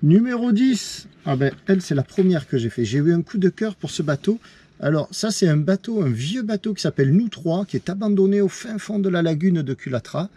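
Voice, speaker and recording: A man's voice: high-pitched, animated, fairly quick, dark tone, breathy edge. A man talking casually to camera in a rambling, self-correcting way, showing his watercolour cards from a boat. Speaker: vlogger and watercolour painter. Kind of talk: casual vlog talk to camera.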